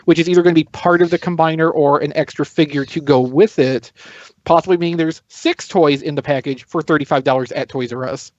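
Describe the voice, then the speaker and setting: A man's voice: fairly high pitch, steady tone, fairly quick, no room sound, highs cut off. A man chatting casually as a podcast panelist, explaining and thinking aloud as he goes. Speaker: toy news podcast panelist.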